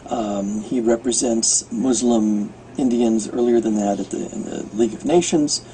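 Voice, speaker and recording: An American man explaining informally as an interviewed radio producer, halting as he thinks aloud. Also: medium pitch, mellow, slight background noise, low-quality recording. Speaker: radio producer and guest commentator. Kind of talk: unscripted explanatory interview talk.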